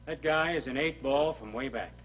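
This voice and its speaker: An actor playing an army motor sergeant who complains bluntly about a troublesome soldier. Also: male voice, medium pitch, moderate pace, a bit bright, dull audio, close to an everyday voice. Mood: exasperated. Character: gruff exasperated male motor sergeant. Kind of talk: 1960s educational film acting.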